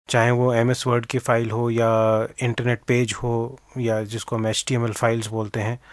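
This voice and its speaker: Indian male interview guest, mid-pitched, methodical explainer who code-mixes English, close dry recording. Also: no echo. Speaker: interview guest, organisation director.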